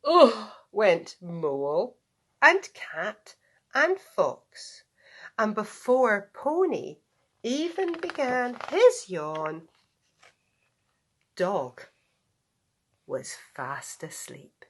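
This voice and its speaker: A woman reads a children's bedtime story with a very lively, swooping pitch. She takes it extremely slowly, stretching words theatrically and dropping into hushed tones. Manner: theatrical, drawn-out, with hushed whispers and acted yawns. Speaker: storybook reader, school staff member.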